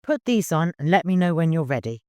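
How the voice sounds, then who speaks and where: A man voicing a bossy, motherly older seamstress in an audiobook, teasing and good-humored, brisk and respectful but firm. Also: fairly high pitch, sing-song, mellow, clear, resonant tone, dry recording, clean studio sound, pitched above the performer's own voice.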